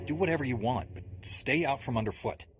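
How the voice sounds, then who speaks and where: A man voicing a calm, confident and earnest Jedi knight hero in a video game. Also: medium pitch, fairly quick, lightly acted. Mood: curt. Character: heroic young male Jedi knight, calm and resolute. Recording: music playing behind.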